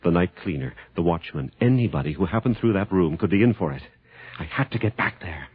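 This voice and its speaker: An actor playing a scientist husband who narrates his own lab mishap in an old radio drama, with lively storytelling. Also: deep voice, fairly quick, husky. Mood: narrating. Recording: dull lo-fi radio drama recording with hiss.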